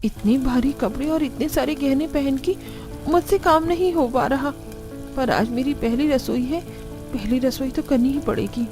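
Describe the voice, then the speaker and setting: A woman's voice: high voice, normal speed, close to an everyday voice. A woman voicing a young new bride in a cartoon story, dismayed by her strict in-laws' household, with music underneath.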